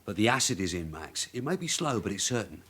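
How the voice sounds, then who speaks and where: An actor playing a shrewd veteran detective sergeant in a British radio drama, a husky voice and terse, probing delivery. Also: male voice, lowish voice, big pitch swings, fast talker, fairly bright tone, heavily acted. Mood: firm, controlled. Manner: terse, probing questions and clipped orders.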